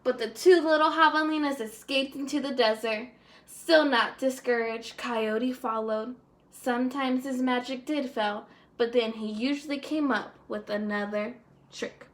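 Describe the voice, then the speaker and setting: High-voiced, slow-paced woman reading a story. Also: steady tone. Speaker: teacher reading aloud. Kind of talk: expressive children's story read-aloud.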